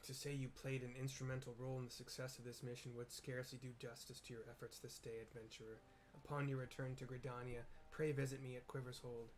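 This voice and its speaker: A man acts a courteous commander of archers in a fantasy game. He speaks with measured, stately military formality. The recording carries some noise. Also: mid-range voice, little pitch movement, a little mellow, natural voice, rough recording. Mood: formal, grateful.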